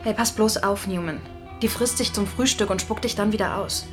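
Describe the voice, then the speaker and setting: A woman's voice: mid-range voice, moderate pace, over music, lightly acted. An actress playing a shrewd, wry and gossipy female deputy boss in natural radio drama dialogue. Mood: gossipy, teasing.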